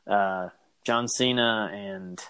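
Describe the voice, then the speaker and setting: A man co-hosting a casual podcast chat, talking in an animated, rambling way with lots of fillers. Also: fairly low pitch, drawn-out words, clean tone, no room sound. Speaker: podcast co-host. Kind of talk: casual chat about wrestling.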